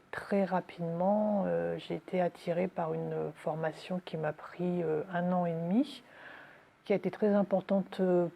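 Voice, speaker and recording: A woman's voice: lowish voice, warm tone, a little hiss or hum. A middle-aged woman speaking in an earnest, reflective way, as a nurse giving an interview about her work. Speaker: hospital nurse. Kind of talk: documentary interview testimony.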